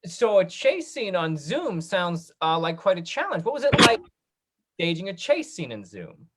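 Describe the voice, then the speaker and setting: A woman's voice: lowish voice, big pitch swings, normal speed, noisy background. A woman hosting a video call interview, prompting guests with encouraging questions. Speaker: host, moderator.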